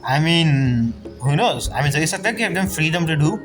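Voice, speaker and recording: A man's voice: a little high, lively intonation, normal speed. A man giving casual, rambling, joking commentary as he plays a game. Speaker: game streamer. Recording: game music behind.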